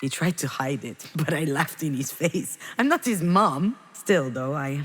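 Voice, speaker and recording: A woman voicing a grieving, guilt-ridden mother in a video game, speaking in a halting, intimate, confessional monologue to her child. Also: low voice, animated, breathy edge, big-room sound, full character voice. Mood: defensive, wry. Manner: halting, confessional, addressing someone directly.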